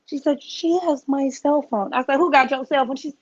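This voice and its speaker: A woman guest on a podcast, telling a story in a casual, profane, animated way and acting out the voices she quotes. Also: sits high, normal speed, lo-fi sound.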